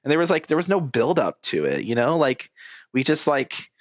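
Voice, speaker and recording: A man's voice: fairly high pitch, very animated, normal speed, no echo, lo-fi sound. A man doing casual, rambling game commentary as a streamer, blunt and informal with plenty of swearing.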